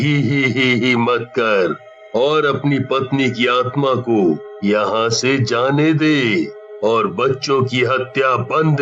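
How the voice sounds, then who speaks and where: Elderly male sage for a cartoon, acted by a man: stern protector, very slow, deliberate, faint music bed. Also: mid-range voice, fairly bright tone, muffled recording. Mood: stern warning. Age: older. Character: stern old holy hermit, grave protector.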